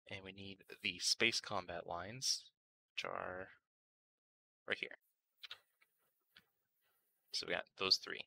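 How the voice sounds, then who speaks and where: A man narrating a casual step-by-step software tutorial, informal and thinking aloud as he works. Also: deep voice, vocal fry, rough recording. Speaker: tutorial host.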